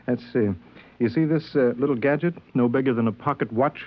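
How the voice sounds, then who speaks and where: A very tinny, phone-like old radio recording of a man acting a sly male passenger. His medium-pitched voice is affable and coaxing, slipping manipulation into every friendly remark. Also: brisk, close to an everyday voice. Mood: sly, confiding. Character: smooth-talking, manipulative male passenger sowing distrust aboard.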